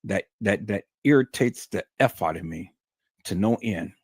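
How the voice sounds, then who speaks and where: A man's voice: fairly low pitch, expressive, moderate pace, slightly rough, no room sound, studio quality. A man hosting a livestream, talking in a stern, emphatic, lecturing way to his viewers. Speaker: livestream host. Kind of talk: unscripted livestream monologue.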